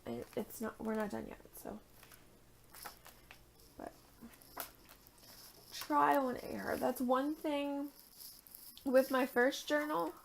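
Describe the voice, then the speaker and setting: Young woman, gravelly raspy voice, tired, chatty crafting tutorial, thinks out loud, murmurs to herself. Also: a little high, slight background noise, rough recording. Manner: chatty, thinks aloud while working, drops to a murmur at times. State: tired at the end of a long day. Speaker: art journaling vlogger.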